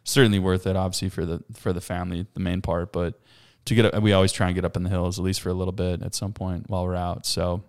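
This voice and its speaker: A man in his thirties, a podcast guest, chatting casually and loudly, rambling with plenty of fillers and frequent laughs. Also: sits low, flat delivery, fast talker, few pauses, smooth. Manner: loud, laughs often, rambling with many fillers. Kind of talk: casual chat.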